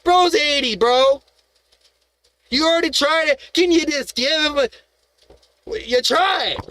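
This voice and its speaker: Heated, high-voiced man ranting on stream. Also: slow pace, bright, forceful tone, no room sound. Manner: heated, profane, rambling, with restarts and rhetorical questions. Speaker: live-stream host. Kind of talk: rambling live-stream rant.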